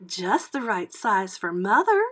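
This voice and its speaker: A woman's voice: medium pitch, very animated, measured pace, fairly bright tone, slightly rough, full character voice. A woman voicing a sweet, inquisitive young girl puppy from a storybook, with a childlike manner. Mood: delighted.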